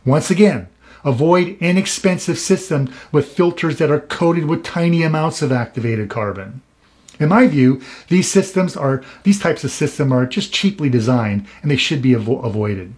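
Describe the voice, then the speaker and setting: A man in his fifties delivers scripted advice to camera in a fairly high voice. He sounds earnest and cautionary and leans hard on his warnings. His voice is heard close to the mic. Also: expressive, normal speed, a little mellow. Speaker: salon industry expert hosting a show.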